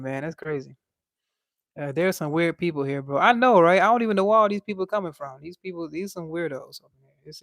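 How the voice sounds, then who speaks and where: A man's voice: on the high side, no echo, clean studio sound. A young man with a light voice, chatting casually on a livestream and reading out chat in a laid-back, slangy way.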